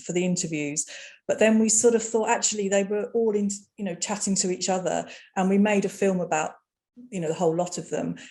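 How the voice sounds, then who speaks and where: Mellow, soft-toned woman chatting. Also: medium pitch. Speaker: webinar participant, interview project maker. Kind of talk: casual anecdotal chat. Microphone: video call.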